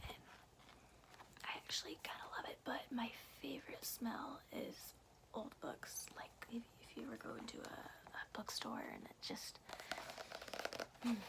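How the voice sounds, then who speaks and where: A woman whispers softly and breathily, as in an ASMR bedtime reading. Her voice sits a little high, with a crackle of fry at the edges. She takes her time and keeps it informal. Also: steady tone, a little slow. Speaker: ASMR host reading a book aloud. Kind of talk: whispered ASMR, casual rambling chat and storybook reading.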